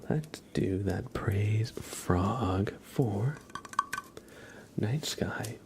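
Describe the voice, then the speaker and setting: A man hosting an ASMR livestream, chatting casually in a breathy whisper. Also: fairly low pitch, drawn-out words, background noise. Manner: casual chat, greeting viewers by name. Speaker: livestream host.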